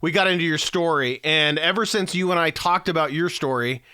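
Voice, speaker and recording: A man's voice: fairly high pitch, fairly quick, sharp tone, clean tone, no echo. A man hosting a podcast, introducing his guest with enthusiastic, rambling setup. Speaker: podcast host. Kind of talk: upbeat host introduction and chat.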